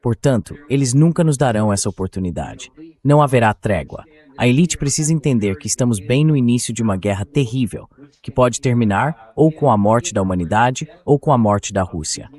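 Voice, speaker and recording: An AI-generated male voice with a medium pitch takes the role of a political interview host. He lays out points in a measured, explanatory way, as someone framing questions for a guest. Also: animated, a little mellow. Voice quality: AI-generated dubbed voice. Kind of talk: political interview with read-out quotes.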